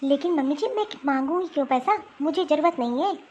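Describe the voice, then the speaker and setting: Wronged cartoon daughter-in-law, played by a woman: high-pitched, pleading yet firm, defending herself. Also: animated, fast, a little mellow, lo-fi sound, slight background noise. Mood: protesting. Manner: pleading, protesting, explanatory. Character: wronged young wife, honest, firmly defending herself. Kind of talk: Hindi cartoon family drama acting.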